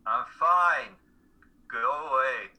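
A man's voice: medium pitch, drawn-out words, sharp tone, exaggerated voice. A young man playing a depressed, defensive, drunk college student in a play reading, slightly slurring his words. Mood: defensive, evasive. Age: young adult.

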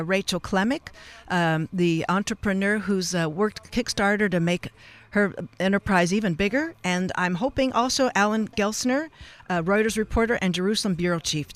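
An American man, middle-aged or older, hosting a live radio interview in a halting, rambling way and addressing listeners in a folksy manner. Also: high voice, a bit bright. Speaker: radio show host. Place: radio station studio. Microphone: close studio radio microphone.

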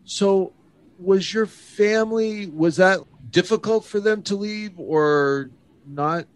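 A man's voice: sits high, slow. Upbeat male radio talk show host. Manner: upbeat, emphatic announcer delivery. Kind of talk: enthusiastic radio interview.

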